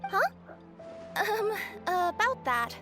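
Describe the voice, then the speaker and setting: Very high, perky courier cat-girl. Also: very animated, drawn-out words, heavily acted. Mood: flustered. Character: perky young cat-girl courier, eager and easily flustered. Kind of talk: anime game voice acting. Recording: music behind the voice.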